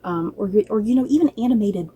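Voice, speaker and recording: A woman's voice: mid-range voice, expressive, fast, warm tone, husky, dull audio. A woman giving a casual, conversational review to viewers, hesitant at times but emphatic about her opinions. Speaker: book and film reviewer.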